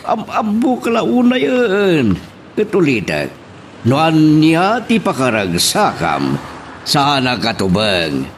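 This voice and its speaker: A man's voice: fairly high pitch, huge pitch swings, takes it slowly, a little hiss or hum, heavily acted. A man with an Ilocano accent acting a doting, hesitant middle-aged father in a radio drama. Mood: fond.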